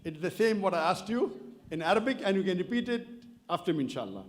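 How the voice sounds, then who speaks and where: High-pitched guy giving a religious talk in a hall, polite and slow, gets the crowd to repeat after him. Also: very animated. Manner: polite, measured, leads the crowd in call-and-response. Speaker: Islamic lecturer. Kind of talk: public religious lecture. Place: lecture hall. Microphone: stage microphone.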